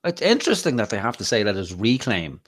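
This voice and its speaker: Profane, mocking Irish man with a low voice. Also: very animated, quick pace, slight background noise. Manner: crude, profane and mocking, laughs often. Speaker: podcast host. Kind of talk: casual chat.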